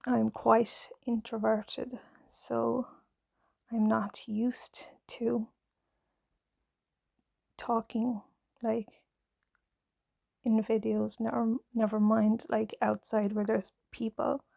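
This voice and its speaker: A shy Irish woman in her thirties talks in a husky, half-whispered hush, her slow words trailing into gravelly fry. Her voice sits soft and mellow with a flat, even melody, close to a clip-on mic in a dry room. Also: on the high side, no echo. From Ireland. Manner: gentle, hesitant, nervous, with many fillers. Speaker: knitting ASMR creator, mother. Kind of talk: soft-spoken ASMR chat. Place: home, in pajamas. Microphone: clip-on mic on pajamas.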